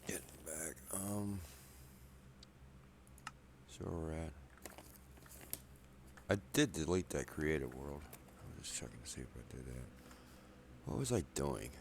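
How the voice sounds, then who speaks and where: A man quietly rambling through casual gameplay commentary in his apartment at night, a bit wheezy from a cold, soft-spoken and self-deprecating. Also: very deep voice, slow pace, gravelly, pauses often, noisy background. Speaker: gameplay video maker. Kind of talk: casual let's play commentary.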